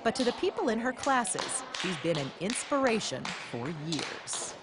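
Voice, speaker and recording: A woman correspondent narrating a scripted television news feature in a lively, expressive way. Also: a little high, unhurried. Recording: music bed underneath.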